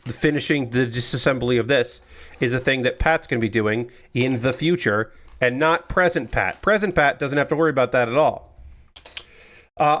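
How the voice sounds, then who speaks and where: A man streaming live from a small room at home, chatting casually and rambling while he builds, with a loud booming voice. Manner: rambling, chatty, restarts often.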